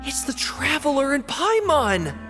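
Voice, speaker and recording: A man's voice: extremely high pitch, very animated, a little slow, exaggerated voice. A man voicing an eager, confident youth with a knowing manner in a video game. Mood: excited. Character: eager, confident youth trained in stealth and fighting. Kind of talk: game character acting. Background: music.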